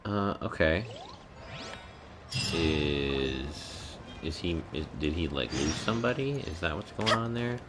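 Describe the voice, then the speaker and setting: Male streamer, low voice, slow delivery, casual muttered gameplay reactions, game music behind. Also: lively intonation. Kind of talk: casual live gameplay commentary.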